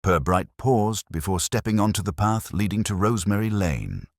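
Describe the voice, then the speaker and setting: A man's voice: on the low side, animated, moderate pace, gravelly, a little mellow, no echo, clean studio sound. A man reading scripted story narration in a formal, literary storytelling manner.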